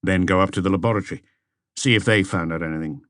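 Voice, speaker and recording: A man plays a seasoned police chief in a detective audiobook. He speaks in a low voice, terse and methodical, commanding and businesslike, recorded dry. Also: quick pace, a bit bright, no room sound. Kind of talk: audiobook character dialogue.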